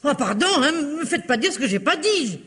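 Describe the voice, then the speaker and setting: Radio mystery role: an indignant working-class maid, colloquial, emphatic, always justifying herself. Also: female voice, high-pitched, very animated, brisk, fairly bright tone. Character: chatty, stubborn, self-justifying working-class woman servant. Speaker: radio actor. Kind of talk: 1950s French radio mystery acting.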